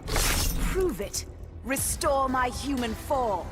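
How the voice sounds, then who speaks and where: Casting: predatory cat-woman villain, slow deliberate delivery, mid pitch, taunting, over loud game music. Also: expressive, exaggerated voice. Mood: enraged. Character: fierce feline huntress, vengeful half-woman half-beast predator. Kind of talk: dramatic video game acting.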